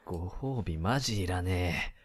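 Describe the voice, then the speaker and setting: Man as a smug, low-voiced, lively schemer. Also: a little slow, breathy edge, exaggerated voice. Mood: nonchalant. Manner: nonchalant, mock-polite. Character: smug, laid-back scheming young male rival contestant. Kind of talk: comedic Japanese radio drama acting.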